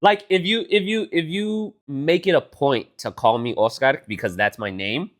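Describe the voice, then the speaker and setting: A man's voice: a little high, normal speed. A young man from Texas co-hosting a podcast, chatting casually and swearing freely as he retells conversations. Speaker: podcast co-host. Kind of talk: casual chat.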